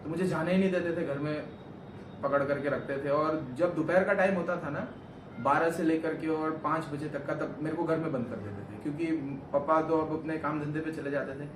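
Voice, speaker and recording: A man's voice: a little high, brisk. Earnest young man, echoey and distant. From Gujarat, India. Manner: rambling, earnest, self-correcting. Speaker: vlogger answering questions. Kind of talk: casual interview chat. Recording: echoey room, far from mic, noisy.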